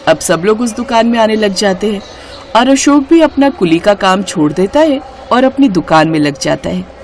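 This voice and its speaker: A woman's voice: mid-range voice, a little mellow, slight background noise. A woman narrator reading a scripted children's moral story.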